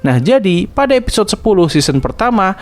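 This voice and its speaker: A man's voice: high-pitched, big pitch swings, a little fast. A young man hosting a cartoon review channel, telling the plot in a casual, slangy scripted narration with English fillers.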